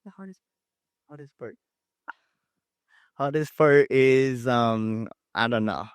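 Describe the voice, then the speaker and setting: A teenage boy with a Japanese accent, an international student answering panel questions in a halting, hesitant way. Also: mid-range voice, clear, resonant tone, dry recording. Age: teens. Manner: hesitant, halting, many fillers.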